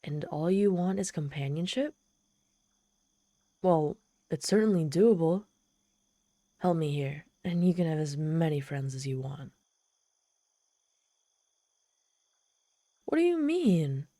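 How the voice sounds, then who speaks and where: Casting: woman to play haughty trapped demoness for audio roleplay; lowish, dark mellow voice, tsundere pride over desperation. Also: lively intonation, a little slow, long pauses, no echo, studio quality. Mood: haughty, bargaining. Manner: haughty, tsundere, speaking directly to the listener. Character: proud, desperate, socially awkward trapped female demon. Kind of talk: scripted ASMR audio roleplay.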